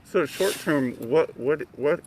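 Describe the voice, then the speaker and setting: An American man with a creaky, gravelly voice puts questions in a casual discussion. His delivery is halting, over faint background noise. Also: on the high side, expressive.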